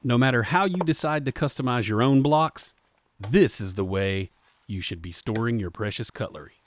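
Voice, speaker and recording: A man's voice: medium pitch, normal speed. A man, hosting a woodworking channel in an upbeat, jokey how-to manner from his home workshop. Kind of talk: scripted workshop narration.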